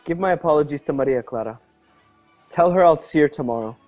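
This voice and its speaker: An actor playing an earnest, courteous young gentleman in a radio drama, troubled and polite. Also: a little high, quick pace, faint music behind, lightly acted. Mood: dejected, courteous.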